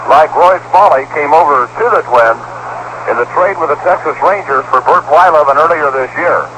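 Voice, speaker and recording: Higher-pitched American man calling baseball on noisy radio. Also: normal speed. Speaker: baseball play-by-play announcer. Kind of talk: live radio baseball commentary. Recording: noisy 1970s AM radio broadcast.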